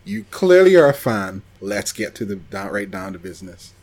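Male podcast co-host, fairly low voice, loose conversational delivery with halting, searching pauses. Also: sing-song, a little mellow. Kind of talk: casual chat about anime.

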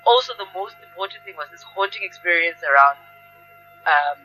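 Very bright, low-pitched woman's voice. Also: animated, moderate pace, breathy edge, faint music behind, low-quality recording. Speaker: interview guest, theatre practitioner. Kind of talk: reflective, conversational explanation.

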